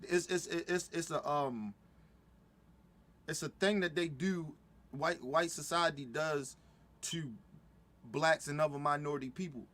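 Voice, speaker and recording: Middle-aged man, fired-up reaction host, high-pitched voice, stumbling restarts. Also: a little slow, long pauses, a bit bright. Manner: heated, repetitive, stumbling restarts. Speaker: commentator reacting to a video. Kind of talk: profane casual reaction commentary.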